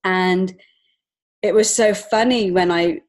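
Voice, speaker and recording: Low-pitched, earnest English woman. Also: fairly even intonation, slow pace. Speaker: podcast guest, children's author and illustrator. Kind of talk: personal storytelling in an interview.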